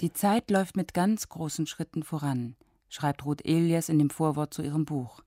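A woman's voice: low-pitched, normal speed, dry recording, studio quality. A woman reading scripted documentary narration in a composed, formal manner. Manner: composed, even, formal delivery.

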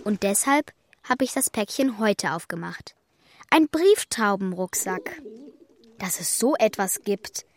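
A woman's voice: medium pitch, animated, measured pace. A woman playing an affectionate, slightly anxious young girl in a German radio play for children, speaking in an earnest, childlike way. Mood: eager, confiding.

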